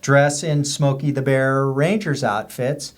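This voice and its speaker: A man's voice: mid-range voice, fairly even intonation, slow. An American man, a guest author on a podcast, tells casual, rambling stories and explains them with many examples. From US border region. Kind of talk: casual anecdotal storytelling.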